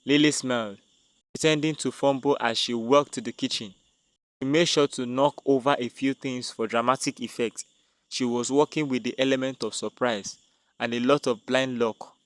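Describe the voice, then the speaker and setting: A man's voice: medium pitch, steady tone, moderate pace, no room sound. A man narrating a dramatic scripted tale as a storyteller.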